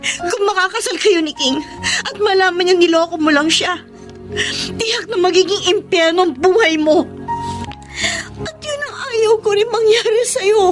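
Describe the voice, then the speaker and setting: Radio drama casting: loyal young woman, very high voice, earnest and emotional, firm in her principles. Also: a little slow, faint music behind. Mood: tearful, earnest. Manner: earnest, emotional, pleading delivery. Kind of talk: Filipino radio drama acting.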